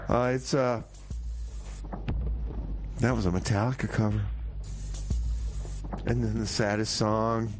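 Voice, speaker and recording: A man playing a mopey, exasperated music-show host in improvised radio comedy, with a halting, plaintive delivery. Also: lowish voice, fairly even intonation, noisy background. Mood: subdued.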